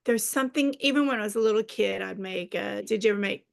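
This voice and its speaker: Middle-aged woman author guest, gravelly mid-pitched voice, lively anecdotal storytelling. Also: fast. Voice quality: slightly raspy. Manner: animated storyteller, laughs a lot. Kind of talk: casual storytelling in an interview.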